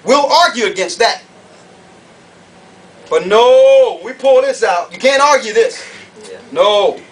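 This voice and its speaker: A man's voice: extremely high pitch, lively intonation, slow pace. A man preaching a fiery doctrinal sermon to an audience, emphatic and confrontational, firing off rhetorical questions. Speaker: preacher, conference speaker. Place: conference room or hall. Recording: distant mic, noisy room ambience.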